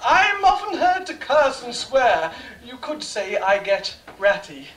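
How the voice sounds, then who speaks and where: A man's voice: very high-pitched, wildly swooping pitch, takes it slowly, heavily acted. A man playing a boastful, villainous pantomime rat, declaiming bawdy rhyming verse to the audience. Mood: boastful, gleefully wicked. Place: small bar venue stage, recorded from audience. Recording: echoey noisy amateur stage recording.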